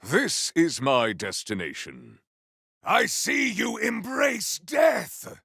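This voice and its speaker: High-pitched warrior, wildly swooping shouts, gravelly edge, game battle barks. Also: male voice, very animated, slow, dry recording, studio quality, exaggerated voice. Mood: fierce, boastful. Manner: shouted, theatrical battle cries. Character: fierce, grandiose male warrior spoiling for battle. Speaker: video game combat character.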